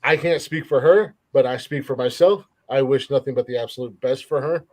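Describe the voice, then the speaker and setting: A man's voice: medium pitch, a little fast, a little mellow. A man with an American accent hosting a casual chat show, blunt and given to swearing. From United States. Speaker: podcast host.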